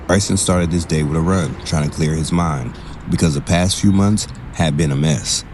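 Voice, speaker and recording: A man narrating a scripted drama in short, measured, dramatic phrases. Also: a bass voice, noisy background. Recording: music behind the voice.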